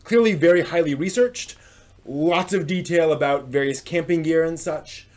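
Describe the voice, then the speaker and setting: A man hosting a live stream, giving casual unscripted review commentary in a halting, discursive way, often circling back to rephrase. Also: fairly high pitch. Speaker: host of an anime news and review live stream.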